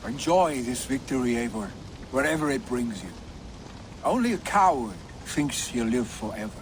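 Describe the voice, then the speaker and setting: Actor doing cocky fighter, medium pitch, teasing n grand, rainy rumble behind, game scene. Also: male voice, expressive, moderate pace, lightly acted, low-quality recording. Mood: boastful, teasing. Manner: bantering, grandiose. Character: brash, boastful, teasing warrior. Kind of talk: dramatic video game acting. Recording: rainy rumbling ambience noise.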